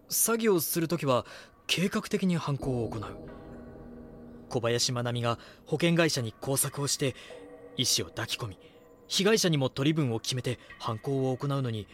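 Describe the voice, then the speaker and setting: A man's voice: mid-range voice, close to an everyday voice. A man acting an earnest magazine reporter in an audio drama, thinking through a case. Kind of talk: Japanese audio drama acting, brooding monologue and dialogue.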